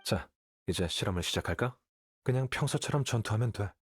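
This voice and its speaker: An actor playing an aloof, calculating young male scholar in a Korean video game, with a detached, measured delivery. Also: lowish voice, lively intonation, natural voice. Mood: cool, instructive. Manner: detached, matter-of-fact, measured.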